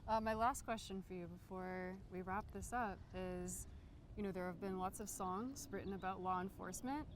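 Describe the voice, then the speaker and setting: A woman is conducting an interview in a conversational way. Her voice sits at an average pitch for a woman. The recording carries some faint background noise. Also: normal speed.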